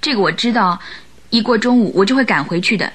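A woman's voice: mid-range voice, expressive, fast talker, fairly bright tone, husky. An actress playing a polite, anxious young lady seeking help, in a radio drama. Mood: polite, grateful. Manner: polite, deferential.

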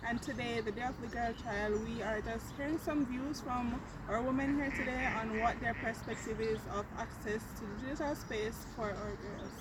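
A woman interviewer at a conference, asking clear, projected questions outdoors. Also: on the high side, fairly even intonation, moderate pace, few pauses, distant mic, heavy background noise. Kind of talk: event interviews.

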